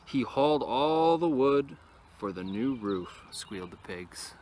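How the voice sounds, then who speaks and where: A man reading a picture book aloud expressively to young listeners, with casual, quieter asides, recorded outdoors. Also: mid-range voice, expressive, slow. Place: outdoors in an open field. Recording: noisy outdoor recording with ambient sound.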